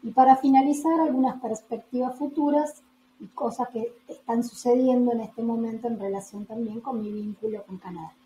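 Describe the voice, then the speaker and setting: An Argentine woman in her forties delivers a prepared academic talk at a measured pace. Her voice is mellow and fairly high, and she stretches key words for emphasis. The room is echoey, with a faint hiss behind her. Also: steady tone. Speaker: geneticist giving a recorded talk.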